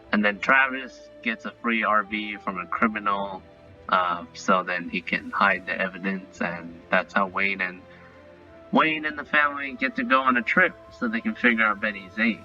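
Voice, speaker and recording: Sharp, rambling man reviewing casually. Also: fairly low pitch. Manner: rambling, halting, repeats himself. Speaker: online cartoon reviewer. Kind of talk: casual unscripted review and recap. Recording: dull lo-fi audio, music bed. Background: faint music.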